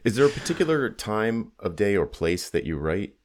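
A man's voice: lowish voice, big pitch swings, moderate pace. A man hosting a casual interview, putting questions to his guest in a conversational way. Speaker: interviewer, host.

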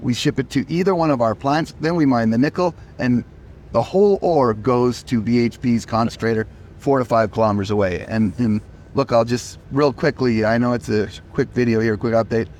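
A man's voice: mid-range voice, normal speed, a little mellow, a little hiss or hum. A company chief executive, a man who is losing his voice, answering interview questions emphatically and often repeating words as he starts.

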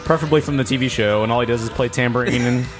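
A man's voice: medium pitch, very fast, music in the background. A man co-hosting a podcast, trading casual jokes and bits of pop-culture trivia. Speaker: podcast co-host. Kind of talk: casual joking banter.

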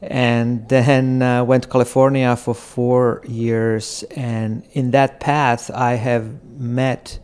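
A middle-aged man from Croatia, a podcast guest speaking slowly and reflectively in an apartment, with halting phrasing, frequent pauses and soft laughs. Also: mid-range voice, little pitch movement, smooth. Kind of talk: reflective conversation. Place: apartment in a city.

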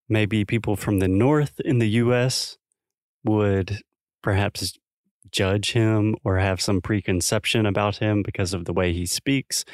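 Low, dry-voiced man explaining things calmly. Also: no echo. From South Carolina, US. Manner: thoughtful, explains with examples. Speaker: podcast co-host. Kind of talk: casual educational podcast chat.

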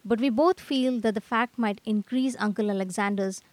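A woman's voice: a little high, moderate pace, no echo, close to an everyday voice. A woman playing an earnest, eager young male artist in a radio drama, with wordy old-fashioned phrasing. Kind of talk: scripted radio drama acting.

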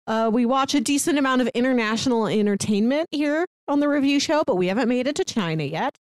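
A woman's voice: high voice, lively intonation, fast, no echo, clean studio sound. A woman co-hosting a podcast in casual, conversational style. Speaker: podcast co-host.

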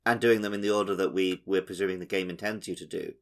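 A man's voice: low voice, fairly even intonation, fast. A man co-hosting a podcast, casually talking through a video game and often checking for agreement. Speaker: podcast co-host.

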